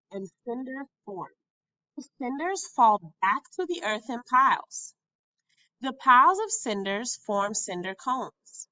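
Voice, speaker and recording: Casting: female teacher type for textbook read-aloud, bright and animated, deliberate delivery, dry sound. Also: on the high side, no room sound, dull audio. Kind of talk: educational read-aloud narration.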